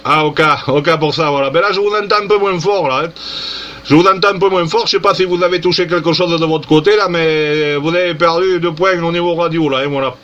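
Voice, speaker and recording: A man's voice: fairly high pitch, a little fast. A man chatting casually as an amateur radio operator and commenting to camera on a handheld smartphone, in a colloquial way. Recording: muffled smartphone audio with loud radio noise.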